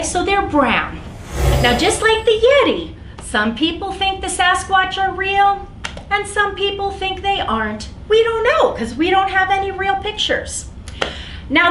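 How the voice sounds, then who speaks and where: A woman's voice: sits high, fairly bright tone. An American woman hosting an interactive story time for young children, speaking simply and with lots of animation. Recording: roomy echo, some background noise.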